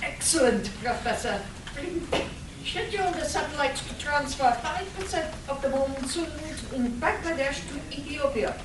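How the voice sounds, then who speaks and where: A woman playing a brisk, authoritative scientist in a live comic radio play. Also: sits high, expressive. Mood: brisk, satisfied. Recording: roomy, distant live stage capture, noisy.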